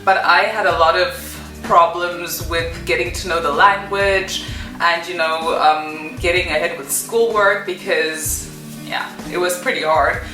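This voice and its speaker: Young woman vlogging, lots of "kind of" and "um", bright voice, faint music behind. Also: on the low side, fairly even intonation, measured pace, roomy sound. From Zimbabwe. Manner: chatty, many fillers and "kind of". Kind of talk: personal story vlog.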